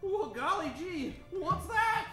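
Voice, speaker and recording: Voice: extremely high pitch, lively intonation, slow, sharp tone, exaggerated voice. An actor playing an eager, naive young boy sidekick in an old-time radio serial. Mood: puzzled, amazed. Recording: echoey, off-mic live stage, music behind.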